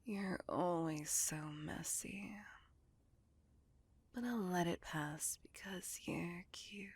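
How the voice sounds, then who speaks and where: Woman acting a slow, whispering, possessive vampire lover. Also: fairly low pitch, exaggerated voice. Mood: seductive, pleased. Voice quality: breathy whisper with husky, creaky edge. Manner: slow, intimate, coaxing yet controlling. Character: possessive, seductive female vampire doting on her captive lover. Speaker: voice actor. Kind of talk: whispered ASMR roleplay.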